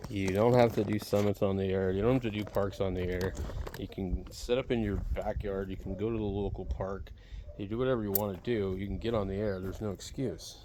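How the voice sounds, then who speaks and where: A man's voice: fairly low pitch, dark tone. A man giving a casual, plainspoken, rambling hands-on demonstration as a radio hobbyist while setting up gear outdoors. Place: outdoors in a backyard. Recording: noisy outdoor recording, uneven mic distance.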